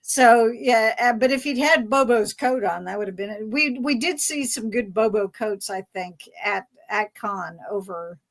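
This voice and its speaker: Rambling woman hosting, slightly high voice. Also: a little slow, few pauses. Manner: hesitant, rambling, searching for words. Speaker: panel host. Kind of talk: casual fan panel discussion.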